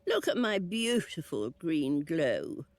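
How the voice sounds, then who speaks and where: A woman's voice: low-pitched, sing-song, a little mellow, dry recording, exaggerated voice. A woman voicing the lonely, wry ghost of a witch burned at the stake, in a game cutscene. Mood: wistful. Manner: slow, drawling, sly asides. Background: game music.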